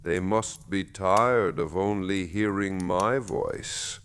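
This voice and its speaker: A man voicing a kindly, unhurried village gardener in a bedtime story, easygoing and reassuring. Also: low voice, a bit bright, slight background noise. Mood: friendly, amused. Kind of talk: slow storybook narration.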